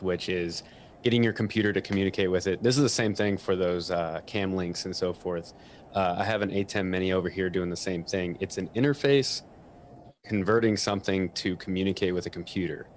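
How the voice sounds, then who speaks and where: A man's voice: sits low, a little hiss or hum. A man giving an informal technical explanation as a guest equipment expert.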